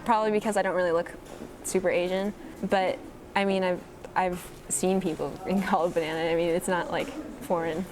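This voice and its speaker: An eighteen-year-old woman, a high school senior, answering interview questions in a relaxed, measured way. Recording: clear background noise.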